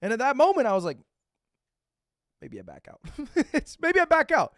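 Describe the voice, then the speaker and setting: A man in his twenties, a streamer reacting to a video, telling a story with excitable, profane energy and acting out what others said. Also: very high-pitched, normal speed, dry recording, studio quality. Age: 20s. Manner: excitable, profane, acts out quotes.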